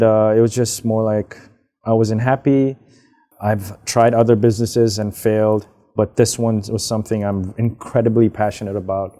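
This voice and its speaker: A young man from Hong Kong, answering interview questions in a relaxed, rambling way with frequent fillers. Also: on the low side. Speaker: guest, business founder. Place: outdoors, karaoke nearby.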